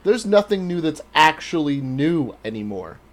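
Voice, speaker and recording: A man's voice: fairly high pitch, sing-song, normal speed. A man co-hosting a podcast in casual chat, talking in an informal, blunt way. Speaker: podcast co-host.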